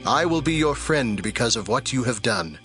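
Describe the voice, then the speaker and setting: An actor playing a gracious, solemn king in a Bible audio drama, speaking in a stately, deliberate manner. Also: medium pitch, animated, sharp tone, faint music behind. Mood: solemn blessing. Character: newly crowned king, measured, gracious and solemn.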